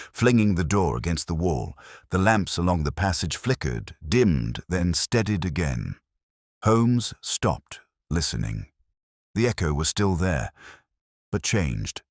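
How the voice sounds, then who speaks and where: Very deep-voiced dramatic male narrator. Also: no room sound, clean studio sound. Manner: dramatic, grave, suspenseful. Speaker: story narrator. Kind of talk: scripted first-person mystery narration.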